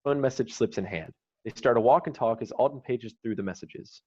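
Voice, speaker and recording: A man's voice: mid-range voice, brisk, a little mellow. A man narrating stage directions from a screenplay in a scripted read. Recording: dull, noisy online recording with clicks.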